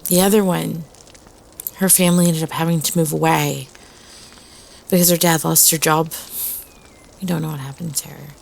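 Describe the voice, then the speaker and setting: A woman acting as a student telling a ghost story at a campfire, with a husky voice and dramatic pauses. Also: low voice, steady tone, darkish tone. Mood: somber, eerie. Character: young woman student telling a spooky doll story, playful prankster. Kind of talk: audio roleplay campfire storytelling.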